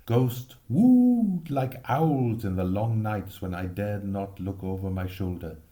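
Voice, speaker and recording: A man reading a literary story expressively, with measured, lyrical phrasing. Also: fairly low pitch, warm tone. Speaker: reader.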